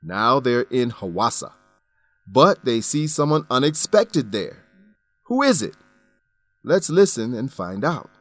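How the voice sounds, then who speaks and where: A man's voice: medium pitch, very animated, slow, long pauses, fairly bright tone. A man narrating a radio drama as its host, with scripted delivery. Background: music.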